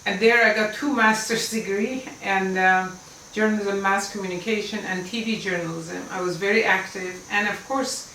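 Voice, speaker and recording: A woman's voice: mid-range voice, fairly even intonation, normal speed, pushed and bright, slight background noise. A woman in her seventies whose first language is Farsi, earnestly telling her life story as an Islamic lecturer, with the odd small laugh. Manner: earnest, emphatic, with little laughs. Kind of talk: personal storytelling talk. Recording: roomy, distant mic with faint ambience.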